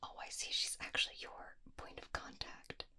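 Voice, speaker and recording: Woman acting a whispering, soothing werewolf doctor. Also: normal speed. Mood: gentle, clinical. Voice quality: breathy, whispered. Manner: soothing, hesitant, softly reassuring. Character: gentle, attentive female werewolf doctor examining a patient. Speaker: ASMR roleplay performer. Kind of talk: whispered ASMR medical roleplay. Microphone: close binaural microphone.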